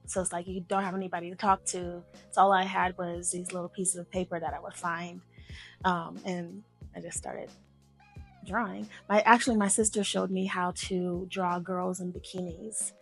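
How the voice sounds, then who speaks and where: A woman's voice: lowish voice, level tone, normal speed, gravelly, slightly rough, soft background music. A woman guest from Memphis whose first language is Arabic, speaking casually with fillers and restarts. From Memphis, Tennessee, US. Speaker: guest artist. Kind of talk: casual conversational interview.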